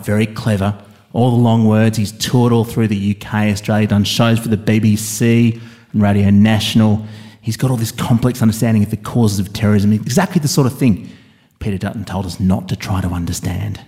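A man playing a mock-serious border interrogator, interviewing a guest in a deadpan, faux-stern way. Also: lowish voice, brisk. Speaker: comedy interviewer.

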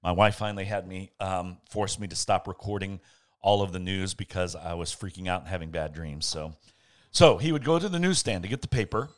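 A man reading a bedtime story aloud as a podcast host, with playful dramatic pauses and casual asides. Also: low voice.

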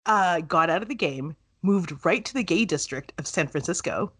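Lively, laughing podcast co-host woman. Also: fairly low pitch, breathy edge. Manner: animated, playful, quick to laugh. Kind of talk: casual chat.

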